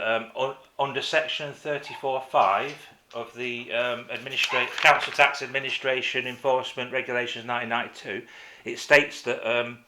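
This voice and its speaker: A man with a bright, forward voice is on a phone call to an office. He presses his point insistently, in halting phrases that he often repeats. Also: medium pitch. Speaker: caller to a council office. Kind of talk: phone conversation, making a complaint.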